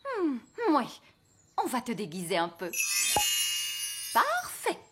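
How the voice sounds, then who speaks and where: Motherly elf for animation: high, lively intonation, house-proud and exclamatory. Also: takes it slowly, over loud music, exaggerated voice. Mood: thoughtful. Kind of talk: animated children's cartoon dub.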